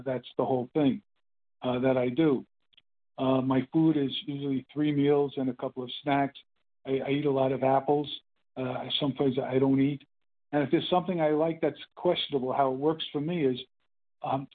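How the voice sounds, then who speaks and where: An older man in his eighties sharing his story at a meeting, rambling and halting with many fillers and restarts. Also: mid-range voice. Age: 80s. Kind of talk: casual spoken testimony. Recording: phone-line call.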